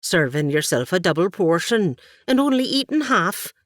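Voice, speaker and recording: A woman's voice: on the low side, very animated, moderate pace, no room sound, studio quality. A woman voicing a widowed aunt with an Irish accent, shrewd and stern yet kind. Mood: probing. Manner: probing, lilting Irish turns of speech.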